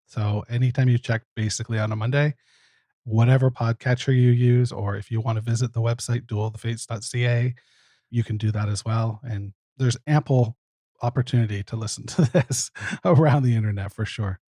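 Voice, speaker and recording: Relaxed mellow guy as podcast guest, chatting casually, dry recording. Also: mid-range voice, fairly quick, no room sound.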